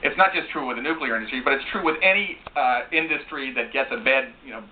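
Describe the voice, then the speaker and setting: A man speaking as a panelist on a live call-in talk show, explaining things in a rambling, halting way. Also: mid-range voice. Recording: tinny lo-fi broadcast with hiss.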